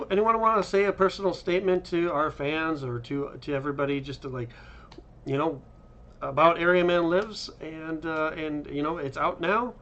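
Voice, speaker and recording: Higher-pitched man hosting, slightly dull sound. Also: a bit bright. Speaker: interview host. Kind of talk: casual chat.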